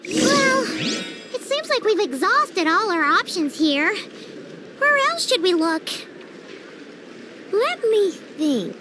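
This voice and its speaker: A woman's voice: very high voice, sing-song, slow pace, pauses often, pushed and bright, slight background noise, full character voice. A woman voicing a plucky, blunt young girl inventor in a video game, with a childlike, casual, feisty manner. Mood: hopeful, candid. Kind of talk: video game character dialogue. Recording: music playing behind.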